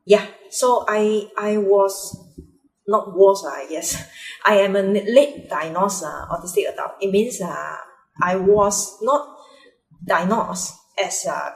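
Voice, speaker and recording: A young Malaysian woman with a Malaysian accent, Mandarin her first language, talking hesitantly in a personal vlog with many fillers and restarts. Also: lowish voice. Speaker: vlogger. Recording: echoey room.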